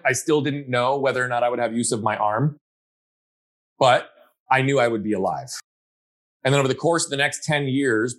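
A man in a conversational interview, speaking in an earnest, reflective way and often checking in with "right?". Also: mid-range voice. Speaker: guest.

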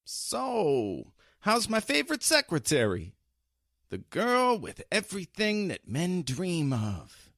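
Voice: mid-range voice, wildly swooping pitch, takes it slowly, fairly bright tone, dry recording, clean studio sound, heavily acted. A performer playing a flirtatious, cocky male delivery messenger in a 1940s-style radio drama, teasing and smarmy.

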